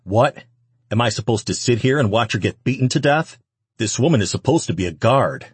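A hot-headed winged recruit from an audiobook, read by a man, indignant and given to muttering and growling. The voice is low and husky, with a dry, close sound. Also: expressive, normal speed, no room sound. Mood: outraged.

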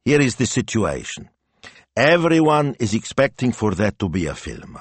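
A man's voice: on the low side, sing-song, moderate pace, slightly rough, dry recording, voice pushed up. A man voicing a shrewd, bossy Italian wife who talks in a practical, decisive, chiding way in an audiobook. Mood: brisk, decisive. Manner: chiding, matter-of-fact, decisive.

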